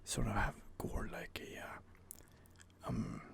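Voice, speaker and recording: A man's voice: slow pace, a bit bright. A man hosting a whispered ASMR let's play, talking hesitantly with many fillers and restarts. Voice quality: whispered, gravelly. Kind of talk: whispered ASMR gameplay commentary.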